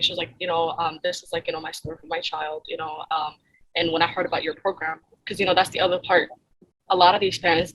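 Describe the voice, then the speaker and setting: A woman's voice: fairly low pitch, a bit bright, a little hiss or hum, low-quality recording. A young woman from Kenya, a nonprofit founder, answering interview questions in a rambling way full of "like" and "you know".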